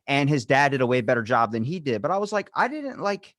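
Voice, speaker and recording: A man's voice: mid-range voice, very animated, fast talker, a bit bright, dry recording. A male podcast host talks in a medium register with a dry, close sound. He is casual and opinionated, rambling through his points with emphasis and loose, profane language.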